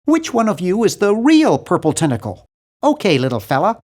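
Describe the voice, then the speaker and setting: A man voicing a nerdy, earnest and anxious young hero in a video game, with a fussy, animated delivery. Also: sits high, normal speed, heavily acted. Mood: earnest, polite. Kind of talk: video game character voice lines.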